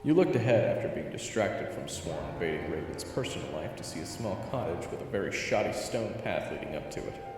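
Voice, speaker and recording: A man narrating a scripted audio story in the second person, as a storyteller. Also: low-pitched. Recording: echoey long reverb, distant, music behind.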